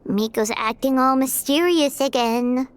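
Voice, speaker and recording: A woman voicing a tiny, childlike, naively curious fairy companion in a video game, squeaky and full of exclamation. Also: measured pace, a little hiss or hum. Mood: confused, curious. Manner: exclaims, speaks of self in third person.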